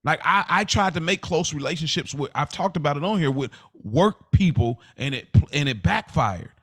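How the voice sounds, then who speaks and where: A man's voice: a little high, animated, normal speed, a bit bright. A man in his thirties talking candidly and casually on a podcast, emphatic and repetitive, with slangy, confiding delivery. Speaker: podcast host.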